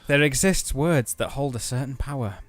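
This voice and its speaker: A man's voice: medium pitch, normal speed, no room sound. A man co-hosting a let's play, reading story narration aloud with casual asides.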